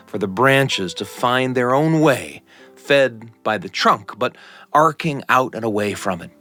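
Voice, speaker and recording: A man hosting a story program, narrating in a measured, deliberate storytelling manner with dramatic pauses. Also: mid-range voice, pushed and bright, no echo. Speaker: radio show host and storyteller. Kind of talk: scripted narration and hosted chat.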